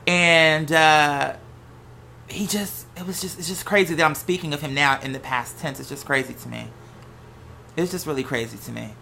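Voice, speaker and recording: An American host talking in a casual, unscripted monologue, with an animated, sassy, slangy way of telling stories. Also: mid-range voice, moderate pace, vocal fry, slight background noise. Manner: animated, sassy, slangy storytelling with acted-out quotes. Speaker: host of a gossip and commentary show.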